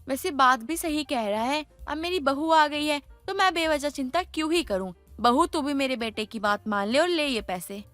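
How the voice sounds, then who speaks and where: A woman's voice: high voice, expressive, moderate pace, few pauses, a bit bright, no echo, soft background music. A woman playing an older mother-in-law and household matriarch in a dramatized moral story, speaking in an emphatic, homely, instructive way. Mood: trusting, persuasive.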